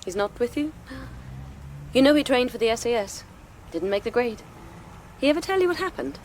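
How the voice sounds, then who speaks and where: A woman's voice: a little high, expressive, brisk, a little mellow, slight background noise. An actress playing a female military investigator in a radio drama, speaking in a pointed, insinuating, taunting manner.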